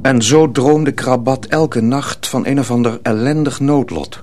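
A man narrating a dark folk tale for a radio drama in a measured, serious manner. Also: mid-range voice, close to an everyday voice. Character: sober male storyteller of a dark folk tale.

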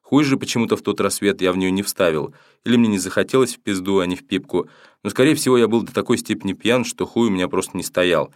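Male audiobook narrator, low voice, steady matter-of-fact first-person read, dry close recording. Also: fast, no echo. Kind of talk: first-person novel reading.

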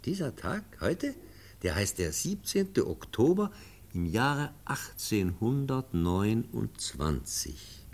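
A kindly professor in a radio play. His voice is deep, with lively rises and falls. He speaks with patience and plain words, like a guardian to a pupil. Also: slow, gravelly, full character voice. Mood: patient, tender. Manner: patient, simple explaining. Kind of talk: German radio drama acting.

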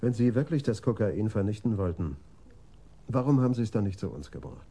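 An actor playing a sober, probing police detective in a radio crime drama, matter-of-fact and pointed. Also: male voice, on the low side, lively intonation, a little fast, mellow.

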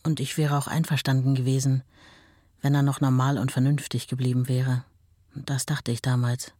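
A woman's voice: very deep voice, little pitch movement, moderate pace, mellow, no room sound, clean studio sound. An actress playing a sorrowful, plainspoken ex-wife reminiscing about her marriage in a documentary-style radio play. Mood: resigned.

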